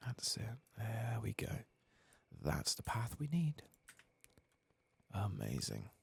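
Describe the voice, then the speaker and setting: A man giving soft-spoken, sometimes whispered ASMR commentary as he plays a video game, murmuring to himself and casually swearing, close to the microphone. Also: lowish voice, wildly swooping pitch, takes it slowly, vocal fry, breathy edge. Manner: murmured running self-talk, casually sweary. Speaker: streamer playing a video game.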